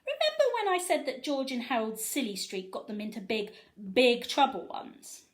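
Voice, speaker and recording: A woman with a fairly high, very lively voice reads a story to young children. She is expressive and chatty, turning to her listeners with questions. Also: normal speed. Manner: animated, asks listeners questions.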